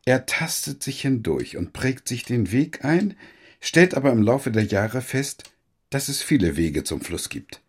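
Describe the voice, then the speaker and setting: An actor playing a pompous, frail retired army officer, an older man with a husky, lecturing way of talking in a radio drama. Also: medium pitch, sing-song, unhurried. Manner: lecturing, philosophizing.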